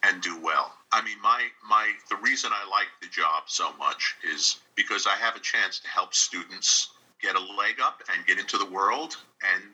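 A man's voice: deep voice, normal speed, pushed and bright, breathy edge, low-quality recording. A man from New York answering interview questions over a video call in a conversational, encouraging, plain-spoken way. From New York, United States. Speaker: interview guest, radio drama director and instructor.

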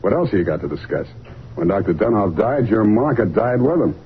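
A dull vintage radio recording of a hard-boiled American detective. His voice sits low, with a wry, clipped, slangy delivery that stays unflappable in classic 1940s radio drama style. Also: big pitch swings, a little fast, husky, exaggerated voice. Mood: wry, probing. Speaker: lead actor and narrator of a detective series.

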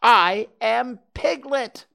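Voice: mid-range voice, big pitch swings, very slow, vocal fry, bright, forceful tone, pitched above the performer's own voice, full character voice. A storybook reader voicing a small, anxious, indignant male piglet with a jerky, protesting, sputtering delivery. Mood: brave, indignant. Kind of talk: children's storybook character voice.

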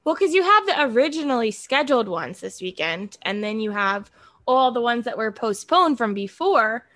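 A woman's voice: fairly high pitch, very animated, moderate pace, a bit bright, no echo. An American woman in her thirties co-hosting a podcast in a casual, chatty style. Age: 30s. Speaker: podcast co-host. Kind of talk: casual chat.

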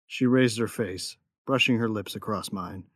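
Tough bounty hunter narrator; low, close, dry sound, brooding with a tender streak. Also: male voice, unhurried, fairly bright tone, no echo. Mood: brooding, intimate. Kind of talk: first-person audio drama narration.

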